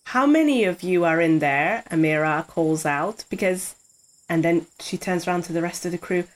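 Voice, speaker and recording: A woman's voice: on the low side, normal speed, low-quality recording. A woman playing a tabletop game, improvising role-play and narrating what her character does.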